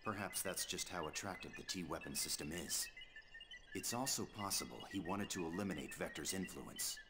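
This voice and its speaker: An actor playing a composed, formal aide delivering reports in a game cutscene, matter-of-fact throughout. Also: male voice, low voice, normal speed, music in the background. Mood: cool, businesslike. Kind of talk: dramatic video game cutscene dialogue.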